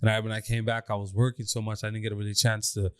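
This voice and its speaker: A young man co-hosting a podcast, chatting casually and telling lively stories, often jumping into high mocking voices. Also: very fast, clear, resonant tone, dry recording, studio quality. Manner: animated storyteller, swings into high mock voices. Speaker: podcast co-host. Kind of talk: casual chat.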